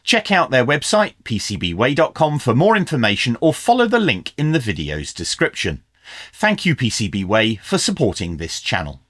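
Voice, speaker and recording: A man reads a scripted review as a presenter, with a mid-range voice that rises and falls in a lively way. His delivery is measured and clearly explained. The sound is close and dry. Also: mid-range voice, no echo. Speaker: presenter of a radio review video channel.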